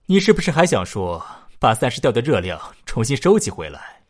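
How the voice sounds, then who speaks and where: A man acting in a sci-fi radio drama as a thoughtful, long-lived future human, reasoning emphatically with a slightly husky voice. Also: medium pitch, sing-song, brisk. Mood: pensive. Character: far-future man nearly two hundred, youthful, pondering humanity's fate.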